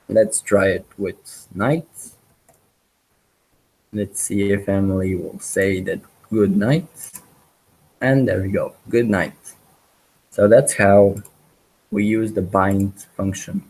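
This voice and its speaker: A man leads a programming webinar, talking through his code as he types. His voice sits somewhat low and he speaks unhurriedly, filling gaps with ums and backing up mid-phrase to fix his wording. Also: steady tone, takes it slowly, long pauses, darkish tone, slightly rough, low-quality recording. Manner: hesitant, many ums and restarts. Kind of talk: live coding walkthrough.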